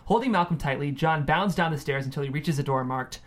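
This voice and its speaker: A man reads out screenplay stage directions as a narrator. His voice is a little higher than most men's, and he delivers scripted scene description for a table read. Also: a little fast.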